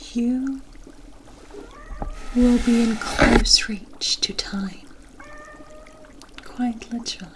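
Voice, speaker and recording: A woman's voice: fairly high pitch, slight background noise. A woman playing a mysterious, kindly witch in an ASMR roleplay, speaking in a coy, teasing and cryptic way.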